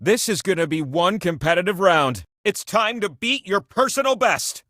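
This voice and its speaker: Excitable, high-pitched game-show wheel host. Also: male voice, normal speed, dry recording, studio quality. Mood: playful, encouraging, then urgent, commanding. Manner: theatrical, coaxing, playfully grandiose. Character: giant talking game-show wheel, eager, whimsical, doting host. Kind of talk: scripted game show hosting, theatrical.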